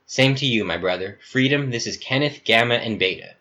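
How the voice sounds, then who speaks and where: A man's voice: mid-range voice, fairly quick, bright, forceful tone, muffled recording, slight background noise, close to an everyday voice. A man voicing a calculating, self-assured artificial human rebel leader in a breezy, matter-of-fact, chummy manner.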